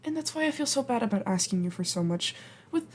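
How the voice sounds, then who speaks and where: A woman's voice: on the high side, lively intonation, fast talker, slight background noise, lightly acted. A woman playing a flustered, apologetic time traveler from the future in an ASMR roleplay, speaking hesitantly, stammering and rambling in a self-deprecating way. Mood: earnest, bashful. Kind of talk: ASMR roleplay acting, intimate and conversational.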